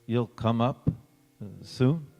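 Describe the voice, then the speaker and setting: A man acting in a stage radio play as a fond, gently teasing husband, indulgent and theatrical in his delivery. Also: medium pitch, slow pace, faint music behind, close to an everyday voice. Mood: reassuring, teasing. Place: large reverberant church or hall. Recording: echoey hall.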